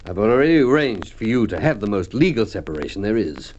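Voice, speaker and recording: An actor playing a bitter, sardonic husband taunting his wife in a Golden Age radio drama, deliberate and dramatic. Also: fairly low pitch, very animated, darkish tone. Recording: muffled, noisy old radio recording with clicks.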